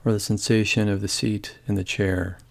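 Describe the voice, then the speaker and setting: Low-voiced man guiding a meditation. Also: vocal fry. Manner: calm, gentle, measured, with long pauses. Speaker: meditation guide. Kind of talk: guided body scan meditation.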